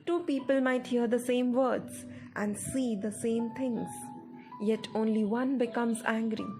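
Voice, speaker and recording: A woman narrating a scripted guided meditation in a measured, instructive way. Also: a little high, darkish tone, rough recording. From India. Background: background music.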